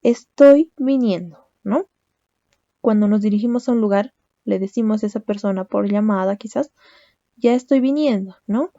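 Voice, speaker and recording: Gravelly, dark-voiced woman teaching. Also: mid-range voice, moderate pace, no room sound, lo-fi sound. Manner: explains step by step, ends phrases with a questioning "¿no?". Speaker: language teacher. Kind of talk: recorded lecture.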